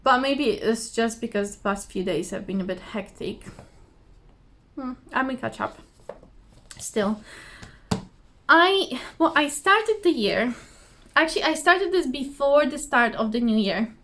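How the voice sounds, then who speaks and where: Woman, slightly high voice, hoarse, giggly, rambling, casual craft vlog, at home. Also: lively intonation, unhurried, pauses often, sharp tone, slight background noise. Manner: giggly, rambling, self-deprecating. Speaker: cross-stitch vlogger. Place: indoors at home, trees outside behind her.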